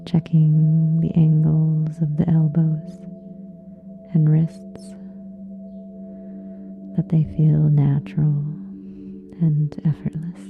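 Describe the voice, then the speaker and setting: A woman leading a guided relaxation meditation in a soft, breathy, hushed and soothing way, leaving long pauses. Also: sits low, monotone, narrow, tinny audio. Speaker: yoga nidra meditation guide. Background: soft meditation music with singing bowls.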